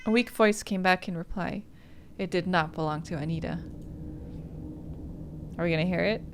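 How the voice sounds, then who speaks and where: A woman's voice: fairly low pitch, normal speed, slight background noise. A woman hosting a game playthrough, reading story narration aloud with casual asides. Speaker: gaming host.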